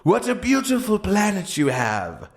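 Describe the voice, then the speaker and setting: Man doing a winged monster, cheerful, impulsive, childishly enthusiastic, high lively pitch, comic storytelling. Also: measured pace, heavily acted. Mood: gleeful, naive.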